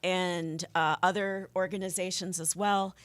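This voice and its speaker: A woman's voice: fairly low pitch, steady tone, unhurried, clear, resonant tone. An American woman speaking conversationally as an activist guest in a radio interview, with an encouraging manner. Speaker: guest, activist and voter registration organizer.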